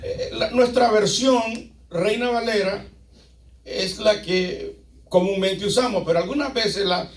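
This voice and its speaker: A man's voice: sits high, a little slow. A male pastor with a Latin American Spanish accent preaching emphatically to a congregation, repeating phrases and posing rhetorical questions. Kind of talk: sermon to a congregation. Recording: echoey room, picked up from a distance.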